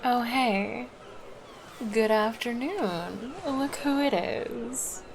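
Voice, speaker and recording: A woman's voice: fairly high pitch, extremely slow, noisy background. A woman voicing a laid-back, flirty female lifeguard in an ASMR roleplay, chatting casually and teasing. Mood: easygoing.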